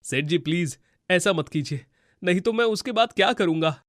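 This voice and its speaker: Guy voicing poor worried farmer dad in a cartoon, highish voice, earnest and pleading, dry audio. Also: lively intonation, moderate pace, fairly bright tone, no room sound. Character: troubled, poor farmer, gentle husband and father.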